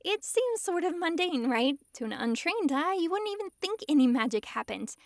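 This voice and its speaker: A woman's voice: very high voice, very animated, moderate pace, dry recording, clean studio sound, full character voice. A woman playing a possessive, lovestruck fox-girl mage in an intimate ASMR roleplay, sweetly controlling and giggly. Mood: pleased, romantic. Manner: sweetly controlling, giggly, swings between gushing and hushed coaxing. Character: possessive, lovestruck young-sounding fox-girl mage, dominant yet giddy.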